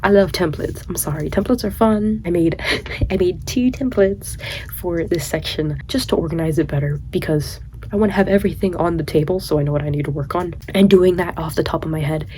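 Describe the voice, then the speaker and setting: A woman's voice: fairly low pitch, a little fast, warm tone, a little hiss or hum. A tired young woman recovering from a cold, rambling casually about her writing on a late-night vlog. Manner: rambling, self-deprecating, many "like"s. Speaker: writing vlogger.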